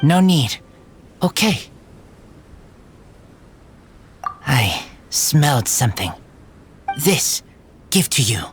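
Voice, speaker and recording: An actor playing an earnest feral boy raised by wolves in a video game, speaking in halting, broken phrases. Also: mid-range voice, big pitch swings, soft background music. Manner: halting broken phrases, third-person self-reference.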